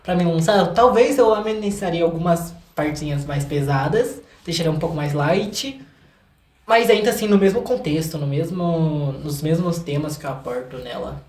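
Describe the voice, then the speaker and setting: A man's voice: on the high side, normal speed, darkish tone. A young man with a Brazilian accent chatting casually as an interview guest, informal, slangy and joking about himself. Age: young adult. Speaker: guest, singer-songwriter. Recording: echoey room.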